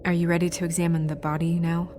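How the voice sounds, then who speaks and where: A woman's voice: on the low side, level tone, fast, a little mellow, slight background noise, lightly acted. A woman playing a poised, determined fairytale office administrator who is defiant yet caring, in naturalistic game acting. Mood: businesslike.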